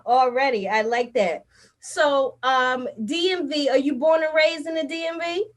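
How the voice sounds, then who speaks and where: Upbeat high-voiced woman host. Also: normal speed. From Baltimore, USA. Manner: upbeat, slangy, hype. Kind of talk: casual interview chat.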